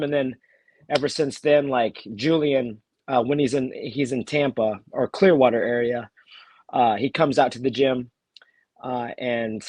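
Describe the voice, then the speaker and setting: A fighter from Texas, talking casually as an interview guest while nursing a cold, with many fillers and restarts. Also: male voice, medium pitch, no echo. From Texas, USA. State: has a cold. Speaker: bare-knuckle fighter, guest.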